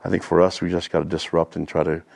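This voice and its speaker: Fast, gravelly, low-voiced male coach. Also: flat delivery, darkish tone, no echo. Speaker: football coach. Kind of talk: casual interview answers.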